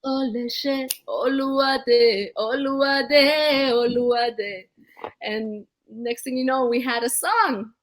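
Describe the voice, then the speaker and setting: A woman's voice: high-pitched, fairly even intonation, slow pace. A woman guest singer chatting in a casual video-call interview, upbeat and breaking into song as she tells her story.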